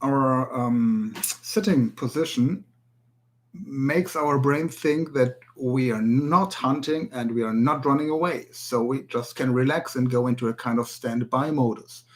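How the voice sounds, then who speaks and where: A man's voice: medium pitch, measured pace. German man teaching a language lesson. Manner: earnest, searches for English words. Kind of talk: spoken video tutorial.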